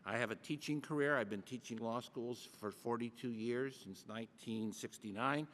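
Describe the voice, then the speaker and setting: An older man from Michigan, a tax lawyer giving measured, formal and courteous testimony before a committee in a large hearing room. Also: mid-range voice, a bit bright, big-room sound, a little hiss or hum. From Michigan, USA.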